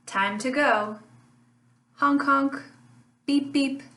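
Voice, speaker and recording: Slow, sing-song woman reading a picture book. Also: sits high. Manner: slow, sing-song rhyming read with lively honks. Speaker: family literacy teacher. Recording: echoey room, voice a bit far from mic.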